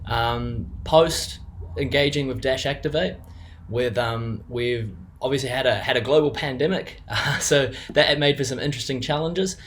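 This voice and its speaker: A man's voice: mid-range voice, normal speed, a bit bright, a little hiss or hum. A younger man with a New Zealand accent, a business co-owner giving an unscripted client testimonial, hesitant with many fillers and restarts.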